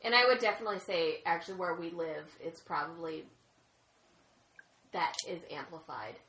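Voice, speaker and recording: Distant, low-voiced woman chiming in. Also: normal speed, a bit bright, echoey room. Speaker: panelist. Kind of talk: casual discussion.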